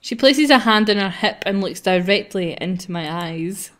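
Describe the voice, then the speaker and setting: A woman's voice: medium pitch, animated, normal speed, a bit bright. A woman with a mid-range voice hosts a gaming session. She reads visual novel lines aloud casually and playfully, giggling and poking fun at herself. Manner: giggly, self-mocking. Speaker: gaming video host.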